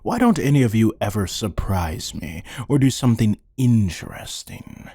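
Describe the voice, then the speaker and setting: A man performing a sardonic human interrogator for hire in an ASMR roleplay. His voice is deep and dark, and he takes his time, taunting his captive with bored, deadpan condescension. The sound is dry and close, with a husky grain. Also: moderate pace, no echo, exaggerated voice. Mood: bored, condescending.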